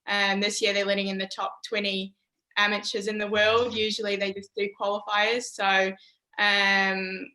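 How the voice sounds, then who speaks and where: A young New woman speaks over a video call from a room that gives her voice a roomy echo. Her tone is bright and edged, but her pitch stays flat and even. She talks in a relaxed, easygoing way, pausing on "um". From New Zealand. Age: young adult. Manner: relaxed, many "um" pauses. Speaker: university golfer, guest. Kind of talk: casual interview answers.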